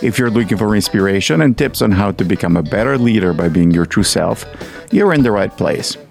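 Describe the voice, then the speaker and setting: A man hosting a podcast, reading his introduction in a measured, deliberate way. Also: on the low side, expressive, vocal fry, soft background music. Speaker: podcast host. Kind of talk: scripted podcast intro and interview.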